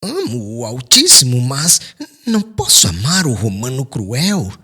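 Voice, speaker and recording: Casting: male narrator as bitter old father in an audiobook drama, husky, raised pitch, heavy with remorse. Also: huge pitch swings, a little slow, sharp tone, soft background music, full character voice. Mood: embittered. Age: older. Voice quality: somber, sighing.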